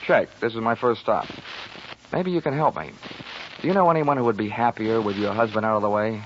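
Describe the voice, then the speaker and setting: A man's voice: on the low side, fairly quick, noisy background, natural voice. A male actor playing a cocky, wisecracking insurance investigator in a 1940s radio drama, with a dry, sardonic delivery. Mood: cool, needling. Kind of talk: 1940s radio detective narration and acting. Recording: muffled 1940s radio recording, music behind.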